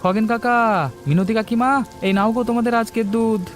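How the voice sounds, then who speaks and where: Kindhearted, crafty village milk boy, earnest and high-pitched, played by a man, for a cartoon story. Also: fairly even intonation, brisk, soft background music. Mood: polite. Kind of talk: cartoon voice acting.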